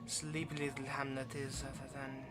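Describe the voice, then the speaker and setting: A performer playing a smug, possessive vampire in an ASMR roleplay, teasing and condescending with quiet menace. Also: mid-range voice, completely flat delivery, normal speed, lightly acted. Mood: menacing, possessive. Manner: teasing, condescending, drops to whispers.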